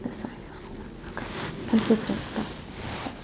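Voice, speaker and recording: A soft-spoken woman doing an ASMR clinical exam roleplay, giving step-by-step instructions and often dropping into whispers. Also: a little fast, poor audio. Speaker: ASMR performer playing a medical examiner. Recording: clear background noise and ambience.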